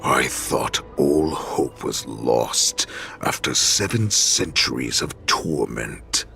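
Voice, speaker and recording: Gravelly, abyss-deep ancient wolf warlord. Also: male voice, lively intonation, slow, bright, forceful tone, faint music behind, full character voice. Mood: exultant. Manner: theatrical, menacing, savoring each word. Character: monstrous ancient male wolf-beast warlord, savage, gloating, hungry. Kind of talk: dramatic video game voice acting.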